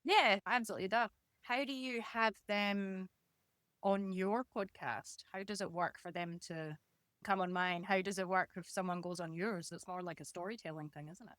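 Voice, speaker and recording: A woman's voice: mid-range voice, moderate pace, fairly bright tone, no echo, clean studio sound. A woman hosting a casual podcast interview in an encouraging, affirming manner. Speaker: podcast host.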